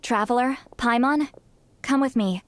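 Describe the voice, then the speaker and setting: Woman as proud, curt shaman, higher-pitched. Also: unhurried, long reverb tail, natural voice. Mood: grudging, subdued. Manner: curt, haughty, grudging. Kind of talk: video game voice acting. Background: music.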